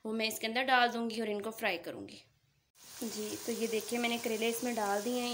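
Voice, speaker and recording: A woman with a fairly high voice guides viewers through a recipe one step at a time, talking straight to them. She speaks from her home kitchen by the stove, with the faint sizzle of frying behind her. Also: slight background noise. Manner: instructive, addresses viewers directly. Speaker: cooking channel host.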